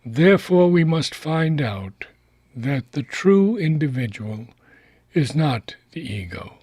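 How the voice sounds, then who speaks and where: A man reading philosophical prose aloud in a deliberate, solemn way, phrase by phrase with pauses. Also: fairly high pitch, big pitch swings, darkish tone, breathy edge, dry recording. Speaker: reader of a spiritual philosophy book. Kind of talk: measured audiobook-style reading.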